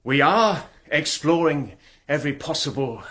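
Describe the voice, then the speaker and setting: An actor playing an apologetic police inspector in a radio play, formal and reserved. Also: male voice, on the high side, animated, measured pace, fairly bright tone.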